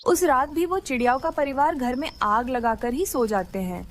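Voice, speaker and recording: A woman's voice: high-pitched, normal speed, a little hiss or hum. A woman narrating a scripted children's cartoon story.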